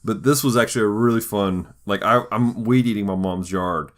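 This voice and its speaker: A man's voice: on the low side, normal speed. A man hosting a podcast, chatting casually in a jokey, easygoing conversational style. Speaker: podcast host. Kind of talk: casual chat.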